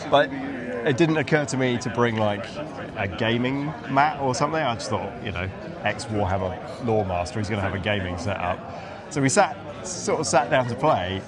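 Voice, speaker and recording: A man telling anecdotes casually and with animation as an interview guest. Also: medium pitch. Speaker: interview guest, game designer. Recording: busy event crowd behind.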